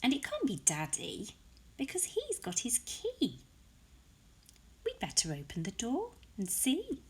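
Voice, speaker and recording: A woman's voice: sits high, wildly swooping pitch, measured pace, slight background noise, voice pushed up, heavily acted. A woman voicing a kindly, hospitable mother from a children's storybook in a polite, sing-song manner. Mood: wondering. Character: Sophie's mummy, kindly hospitable young mother.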